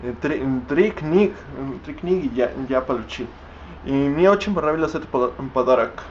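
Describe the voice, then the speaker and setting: A young man speaks haltingly, breaking off words and starting them over as he searches for them. His voice sits at an ordinary middle pitch. The recording is a little dull and lo-fi, with a faint hiss underneath. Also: animated. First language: Spanish. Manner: halting, many restarts and fillers while searching for words. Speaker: language learner vlogging. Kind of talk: unscripted vlog in a learned language.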